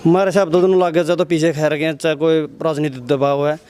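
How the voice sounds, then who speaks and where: Even-toned, halting Haryanvi man. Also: on the high side, slight background noise. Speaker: interviewee. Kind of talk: spontaneous interview answers.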